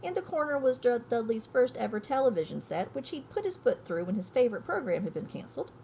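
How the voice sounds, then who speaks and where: A woman's voice: a little high, very animated, fast talker, creaky, dark tone, rough recording. Woman teacher reading aloud, faint background noise. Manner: emphatic, thinks aloud, poses questions to listeners. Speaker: elementary school teacher. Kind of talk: read-aloud lesson, storybook reading and explaining.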